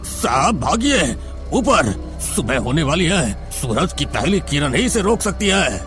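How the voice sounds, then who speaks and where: A man's voice: a little high, very animated, a little slow, music in the background, voice pushed up. A man voicing a kindly, sorrowful old caretaker's ghost in a horror story, humble and protective. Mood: urgent.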